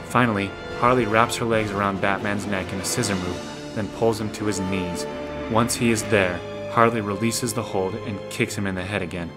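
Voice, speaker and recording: A man's voice: on the low side, fairly even intonation, normal speed. A man reading scripted action narration for an audio drama. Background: music.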